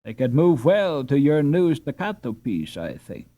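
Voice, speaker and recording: A man's voice: medium pitch, big pitch swings, unhurried, mellow, pitched above the performer's own voice. A man voicing a haughty, mocking schoolboy in a storybook, with a sneering, sarcastic manner.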